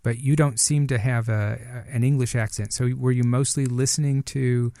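Male interviewer, middle-aged, medium pitch, measured and hesitant conversational delivery, very little room sound. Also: steady tone, mellow, no room sound, studio quality. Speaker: radio interview host.

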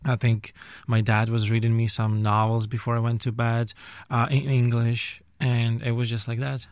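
A man's voice: medium pitch, monotone, moderate pace, no room sound. A young man from Ukraine, a language teacher earnestly answering interview questions and sometimes searching for words. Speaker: guest, language teacher and graduate student.

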